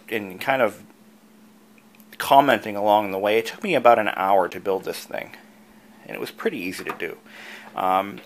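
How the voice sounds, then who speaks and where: Lower-voiced man giving a casual tutorial. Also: fairly bright tone. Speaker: video creator and host, antique radio hobbyist. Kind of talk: casual how-to tutorial narration.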